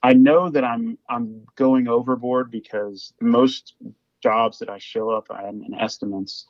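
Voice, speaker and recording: A man's voice: medium pitch, little pitch movement, a little slow, no echo. A man with a Midwestern American accent, a flooring business owner, talking casually as a podcast guest and often restarting his sentences. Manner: rambling, with frequent restarts and repeated words. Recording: dull lo-fi audio.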